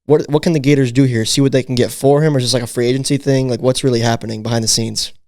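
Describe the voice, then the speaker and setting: A man's voice: medium pitch, fairly even intonation, racing, a little mellow. A man hosting a casual sports podcast, leading the talk and asking questions. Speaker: podcast host.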